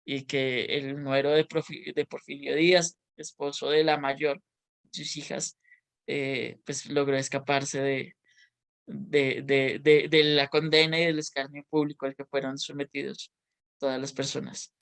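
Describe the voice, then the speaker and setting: Moderator, smooth clear voice, polite and measured, hosting a Q&A. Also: mid-range voice, level tone, a bit bright. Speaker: museum guide and moderator.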